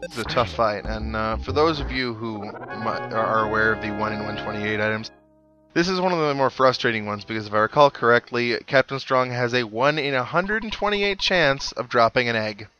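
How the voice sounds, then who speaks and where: A man with a medium-pitched voice gives casual commentary on a game he is playing. He is wry and dry-humoured, and game music plays clearly behind him. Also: normal speed, muffled recording. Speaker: host of a gaming playthrough series. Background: video game music.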